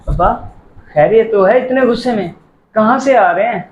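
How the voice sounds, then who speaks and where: A man's voice: sits high, faint music behind, lightly acted. A young man playing a caring, principled son and nephew in an amateur moral drama, earnest and reasoning. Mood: concerned, reproachful. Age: young adult. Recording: echoey room, dull, faint noise.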